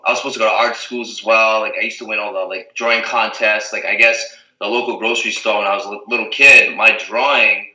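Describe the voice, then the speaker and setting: A man's voice: on the low side, bright, forceful tone, reverberant, recorded from a distance, low-quality recording. A young man from the Azores, a reality TV guest, chatting casually and rambling with lots of "like" and "you know what I mean". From Portugal, the Azores. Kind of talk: casual interview chat.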